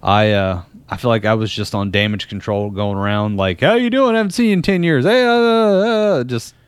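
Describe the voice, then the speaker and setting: A man's voice: mid-range voice, brisk, smooth, dry recording. Laughing, rambling young man on a podcast. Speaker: podcast co-host. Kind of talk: casual chat.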